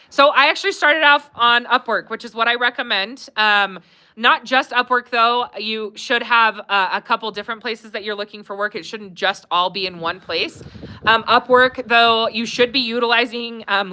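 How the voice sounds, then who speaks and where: A woman's voice: on the high side, moderate pace. A woman hosting a live Q&A stream, chatting casually with many fillers, laughing often and reading viewer comments aloud. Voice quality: warm, conversational, many fillers.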